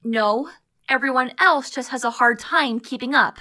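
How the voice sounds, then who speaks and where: A woman playing a confident, teasing young hacker sidekick who explains things briskly in an audio drama. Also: high-pitched, bright, forceful tone. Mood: confident, explaining. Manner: brisk, explanatory, self-assured. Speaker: voice actor.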